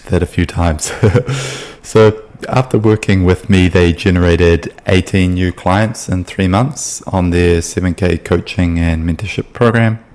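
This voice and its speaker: Brief: young New Zealand man, deep voice, relaxed chatty explainer delivery. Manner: loose and chatty, with many ums and restarts. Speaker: sales consultant. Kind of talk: casual explainer video.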